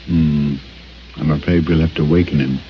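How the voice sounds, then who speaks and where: An actor playing a brilliant, composed detective, speaking in a measured, precise and authoritative way in a 1940s radio play. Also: male voice, extremely low pitch, fairly even intonation, over music. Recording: muffled old radio broadcast.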